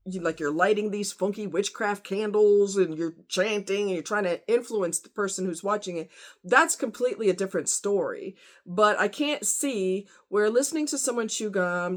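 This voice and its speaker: A woman talking casually in a rambling opinion vlog, conversational and informal, with slang and rhetorical questions. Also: mid-range voice. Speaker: vlogger.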